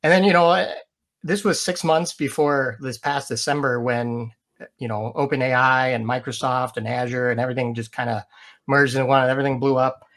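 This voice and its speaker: A man's voice: medium pitch, steady tone, fairly quick, fairly bright tone. A man who is a guest in a casual interview, telling stories with animation and frequent laughs. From Michigan, USA.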